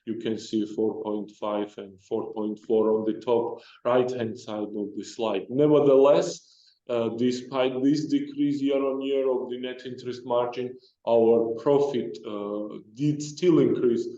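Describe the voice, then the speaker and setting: Guy doing a results call, mellow voice, stop-start delivery, muffled and echoey. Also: mid-range voice, fairly even intonation. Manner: halting, hesitant with frequent broken-off phrases. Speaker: company executive presenter. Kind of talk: financial results presentation. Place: conference call. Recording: dull, roomy conference call audio.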